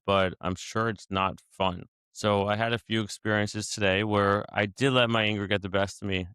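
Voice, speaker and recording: A man's voice: lowish voice, a bit bright, clean tone, no room sound. A man casually reflecting to his viewers about anger and daily life, talking in a searching, conversational way with many asides and restarts. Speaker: vlogger sharing daily reflections.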